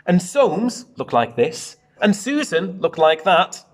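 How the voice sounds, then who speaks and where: A man's voice: high-pitched, very animated, slow, exaggerated voice. Man putting on a kindly female storybook cat. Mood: helpful, proud.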